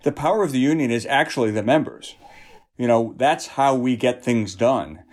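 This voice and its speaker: A man with a mid-pitched voice talks in a conversational interview setting. He explains things earnestly, in a measured way, like a representative speaking for an organization. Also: darkish tone. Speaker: union representative being interviewed.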